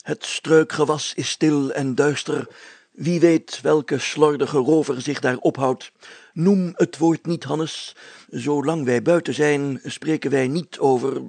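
A man's voice: on the high side, fairly even intonation, slow, darkish tone, husky, no echo, voice pushed up, heavily acted. A man voicing a pompous, solemn, overcautious village mayor in a storybook reading, speaking in formal, stately phrases. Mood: pompous, grave.

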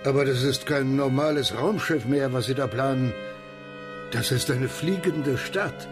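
With music clearly behind him, an actor plays a businesslike male advisor in a radio drama. The voice is of medium pitch. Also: unhurried, lightly acted. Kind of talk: German radio play acting.

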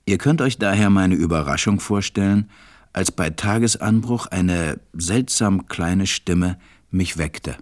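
Deep voiced pilot narrating a radio play, wistful, measured, reflective storytelling. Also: male voice. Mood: wistful, wondering. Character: grown male pilot, wistful storyteller recalling his life.

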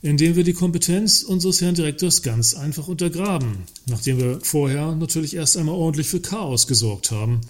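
An actor playing a dry, mocking works council representative in a satirical radio play, ironic and wordy. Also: male voice, on the high side, brisk, hardly any pauses, lightly acted. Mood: mock earnest.

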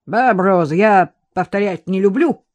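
A woman's voice: lowish voice, big pitch swings, a little slow. Woman playing a furious, barking older man. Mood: angry. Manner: harsh, sarcastic, shouting.

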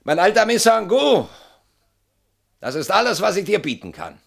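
An actor playing a weary, remorseful, bitter man in a German radio play, moving between hushed muttering and loud, theatrical outbursts. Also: sits high, very animated, normal speed, creaky, full character voice. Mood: agitated, mocking.

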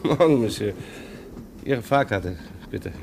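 An actor playing a brisk, unbudging train ticket inspector in a radio play, dryly polite and officious, with a gravelly voice. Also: male voice, fairly low pitch, lively intonation, noisy background, full character voice. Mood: polite, insistent. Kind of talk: German radio play acting.

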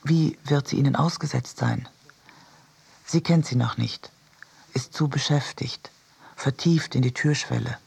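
A man with a husky, gravelly voice reading literary prose as a narrator, measured and contemplative. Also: mid-range voice, a little mellow.

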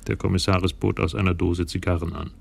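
A man's voice: low voice, little pitch movement, fast, creaky, breathy edge. A man narrating a radio crime drama in scripted prose.

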